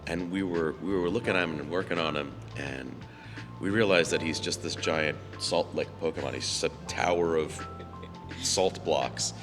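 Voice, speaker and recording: A middle-aged male voice actor with a slightly hoarse, froggy voice, rambling in a relaxed, self-deprecating way at a convention Q&A. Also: extremely low pitch, moderate pace, over music. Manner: rambling and self-deprecating, with frequent restarts and fillers. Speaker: voice actor guest at a fan convention.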